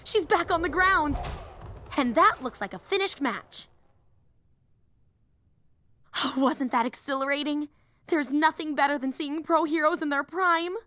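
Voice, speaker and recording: A woman's voice: high-pitched, a little slow, pauses often, pushed and bright, dry recording, studio quality. A woman voicing a young, nerdy female hero fan and teacher in an audio roleplay, eager, rambling and easily flustered. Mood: fascinated, analytical, then excited.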